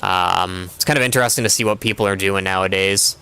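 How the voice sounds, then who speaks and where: A man's voice: low-pitched, a little fast, sharp tone, a little hiss or hum. A young man streaming a game, chatting casually in a rambling way with constant filler words. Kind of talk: casual chat while gaming.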